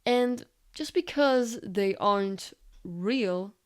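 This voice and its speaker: Woman, mid-range pitch, video essayist, scripted narration, reflective, rhetorical questions. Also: very slow, clear, resonant tone.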